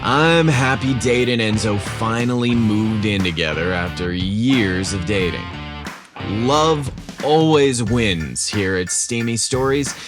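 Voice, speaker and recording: A man narrating a scripted romantic story as a podcast host, in a measured, deliberate storytelling manner. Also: mid-range voice.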